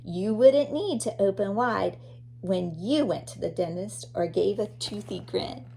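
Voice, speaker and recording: A woman's voice: mid-range voice, unhurried, gravelly, warm tone, slight background noise, rough recording. A woman teacher reading a children's nonfiction book aloud expressively, addressing young listeners.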